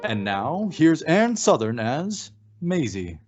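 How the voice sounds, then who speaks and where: A man's voice: a little high, sing-song, takes it slowly. A man announcing a show in a showy, emphatic 1940s radio style. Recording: dull lo-fi recording with music. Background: music.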